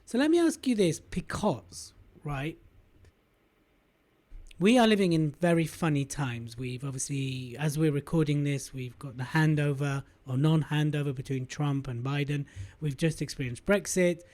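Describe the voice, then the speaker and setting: A man's voice: a little high, lively intonation, moderate pace, darkish tone. A man hosting a podcast interview, asking probing questions and summarizing what his guest says. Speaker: podcast host.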